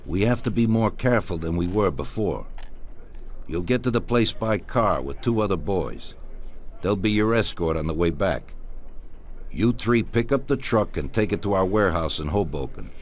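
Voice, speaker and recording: An actor playing a genial, commanding older mob boss in a video game, with a measured, authoritative way of talking. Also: male voice, deep voice, animated. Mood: businesslike. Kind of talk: video game cutscene acting.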